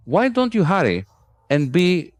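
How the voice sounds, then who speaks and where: Male actor as taunting royal messenger, haughty, extremely animated pitch, impatient, faint noise and clicks. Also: unhurried, heavily acted. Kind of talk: dubbed anime voice acting.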